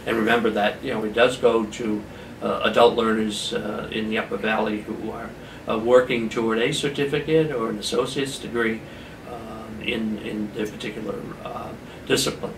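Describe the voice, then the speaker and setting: A man hosting an informal community interview programme, speaking haltingly with pauses mid-sentence. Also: on the low side, fairly even intonation. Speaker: talk show host, chamber of commerce representative. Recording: roomy echo, distant mic, background noise.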